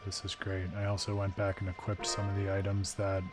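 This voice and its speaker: A hoarse man hosting a live game stream, talking through his thoughts in a thoughtful, digressive way with frequent restarts. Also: sits low, monotone, a little fast, over music. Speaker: streamer and host.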